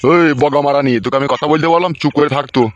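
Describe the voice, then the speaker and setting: A man's voice: a little high, steady tone, rapid-fire, highs cut off, very noisy, natural voice. A man voicing a scheming, bossy, middle-aged village uncle in a comedy cartoon, scolding and constantly checking he is understood. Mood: impatient, explaining. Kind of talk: Bengali comedy cartoon dubbing.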